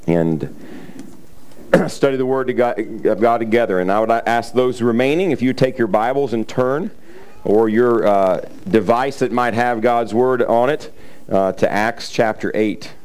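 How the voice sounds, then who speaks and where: A middle-aged man preaching a sermon, a little raspy, talking in a loose conversational way with frequent fillers and throat clearing. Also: lowish voice, unhurried, a little mellow. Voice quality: slightly raspy from cheering. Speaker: pastor. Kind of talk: sermon with scripture reading.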